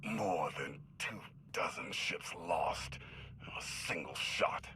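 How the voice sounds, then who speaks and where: A man playing a regal, imperious sci-fi villain in a video game, ominous and deliberate. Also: deep voice, animated, background noise. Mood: brooding, imperious.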